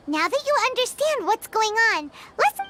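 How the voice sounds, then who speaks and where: A woman voicing a tiny, excitable floating fairy companion who chatters and refers to herself by name, in video game cutscene dialogue. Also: extremely high pitch, normal speed, noisy background. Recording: game audio with loud music behind.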